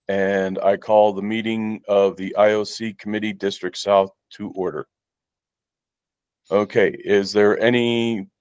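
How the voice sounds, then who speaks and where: A man with a low voice chairs a committee meeting. He speaks slowly and formally, working through procedure. The sound is close and dry, with no room around it. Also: darkish tone, dry recording, highs cut off. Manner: slow, formal procedural speech.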